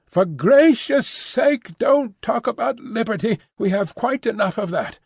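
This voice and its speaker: A man's voice: high-pitched, lively intonation, a little slow, a little mellow, breathy edge, dry recording, clean studio sound, voice pushed up, exaggerated voice. A man voicing a fussy, fiercely loyal Englishwoman housekeeper who talks emphatically and primly. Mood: emphatic. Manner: emphatic, bustling, prim.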